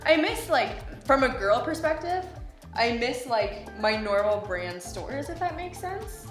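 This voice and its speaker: A young adult woman chatting casually as a travel vlogger, soft-spoken and full of "like". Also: on the high side, big pitch swings, unhurried, roomy sound, faint music behind. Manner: soft, chatty, says "like" a lot. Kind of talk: casual chat.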